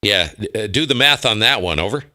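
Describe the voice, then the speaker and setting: An American man with a lowish, sharply forceful voice. He chats as a ham radio operator in an enthusiastic, slangy, offhand way. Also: animated, brisk. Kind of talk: casual radio chat.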